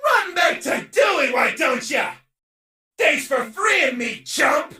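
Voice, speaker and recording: A cocky game villain with a very high, swooping voice. The showman is hyperactive and theatrical, throwing out brash taunts. It sounds roomy, with an echo around every shout. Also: sing-song, slow, distant mic, full character voice. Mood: taunting, mocking. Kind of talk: exaggerated video game character voicelines.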